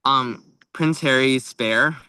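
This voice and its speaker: A man's voice: medium pitch, slow, pushed and bright, clean tone, no echo, low-quality recording. A young man co-hosting a casual book chat, blunt and emphatic, with "like" peppered through his sentences. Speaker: book podcast co-host.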